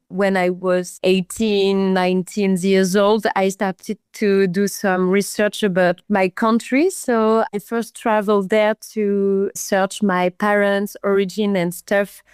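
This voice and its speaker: A woman musician with a smooth, clear voice talks earnestly about her music. She sometimes pauses to search for the right word. The recording is dry and close. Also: medium pitch, flat delivery, darkish tone, no room sound. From northern France. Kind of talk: casual interview.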